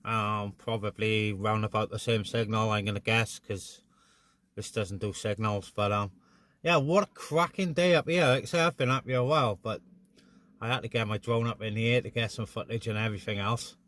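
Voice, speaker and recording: A man's voice: fairly low pitch, normal speed, a bit bright. A man chatting casually and jokily on CB radio while filming outdoors, in a matey way. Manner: chatty, matey, joking exaggeration. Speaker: vlogger and CB radio hobbyist. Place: outdoors on a busy mountain top. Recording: clear vehicle and outdoor noise.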